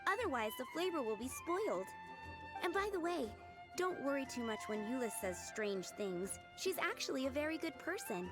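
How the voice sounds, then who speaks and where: Kind, calm female innkeeper type; slightly high, steady, game score underneath. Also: moderate pace. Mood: earnest. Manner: warm, calm and earnest. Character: kind gentle female restaurant keeper. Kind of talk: video game voice acting. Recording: game dialogue over music.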